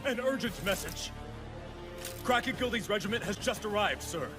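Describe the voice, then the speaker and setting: An actor playing an urgent, deferential monster minion in an English anime dub. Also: male voice, high voice, normal speed, over music, close to an everyday voice.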